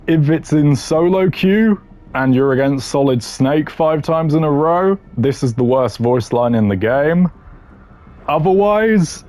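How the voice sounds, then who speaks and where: A man's voice: a little high, a little mellow. A man giving casual, opinionated gaming commentary in a blunt, dismissive way with dry humour. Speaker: gaming content creator ranking voice lines.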